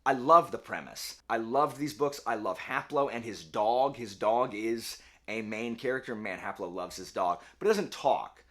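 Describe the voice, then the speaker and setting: Lively, rambling male book vlogger. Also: medium pitch, moderate pace, few pauses. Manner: chatty, rambling, self-correcting. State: slightly hoarse. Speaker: book channel host. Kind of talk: casual book vlog.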